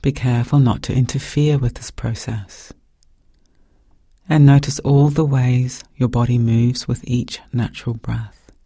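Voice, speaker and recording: A man with a soft, breathy voice guiding a meditation in calm, gentle, measured phrases with pauses. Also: medium pitch, no echo, clean studio sound. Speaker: meditation guide. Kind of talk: guided meditation narration.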